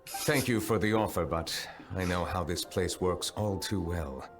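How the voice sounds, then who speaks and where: A man voicing a grave, formal, humble chief justice in a video game, speaking with measured courtesy. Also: low voice, over music. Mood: polite, reserved.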